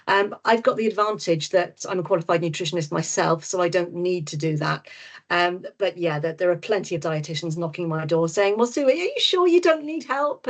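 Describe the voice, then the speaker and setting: A middle-aged Welsh woman answers webinar questions with a lowish voice. She is frank and reassuring, and her talk is full of ums and repeated words. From Wales. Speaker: GP and nutritionist guest speaker.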